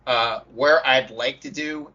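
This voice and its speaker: A man with a husky, bright-edged voice casually discussing tabletop games as a podcast co-host and game master. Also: medium pitch, steady tone, slow. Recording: dull lo-fi audio.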